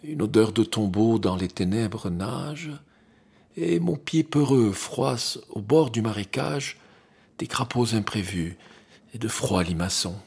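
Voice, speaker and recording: A man with a strikingly husky, breathy voice recites classic verse. He takes his time over each phrase, with gravel in the tone and hushed touches that sink toward a whisper. The delivery is dramatic and deliberate. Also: on the low side. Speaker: poetry reader.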